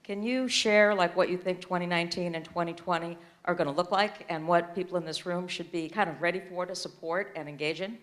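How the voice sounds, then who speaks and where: A woman in the audience asking the panel a question, forthright and loud. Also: on the low side, fairly even intonation, a little fast, few pauses. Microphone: far from the mic at first.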